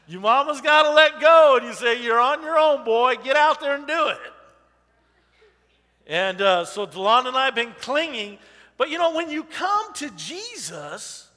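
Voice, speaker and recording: A man in his forties preaching an informal sermon in a church, talking loudly and animatedly while he walks among the audience. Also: extremely high pitch, unhurried, long pauses. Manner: loud and animated, walking the stage and aisles. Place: church sanctuary with a stage. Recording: long reverb of a church hall.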